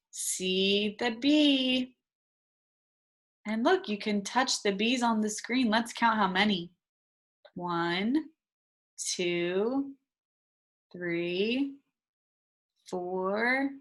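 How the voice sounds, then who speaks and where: Very slow-paced woman reading to children. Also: medium pitch, fairly bright tone. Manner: simple short sentences, prompting with questions and answering them; instructional, emphasising key words slowly for children. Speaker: teacher reading aloud to young pupils. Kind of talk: interactive children's read-aloud.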